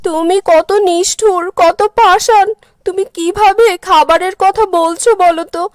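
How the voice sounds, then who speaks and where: A woman's voice: extremely high pitch, fairly even intonation, measured pace, no room sound, studio quality. A woman voicing a foolish, melodramatic village wife with a whiny manner in a cartoon. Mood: angry, hurt scolding. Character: foolish, weepy village wife, prone to wailing and scolding. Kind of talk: cartoon character dialogue.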